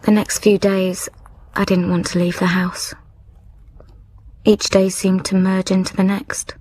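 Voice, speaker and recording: A woman's voice: medium pitch, level tone, a little slow, darkish tone, breathy edge, no echo. An actress playing a reflective young widow, narrating her letters and thoughts intimately in a radio drama. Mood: bleak, reflective. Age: young adult. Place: dry studio.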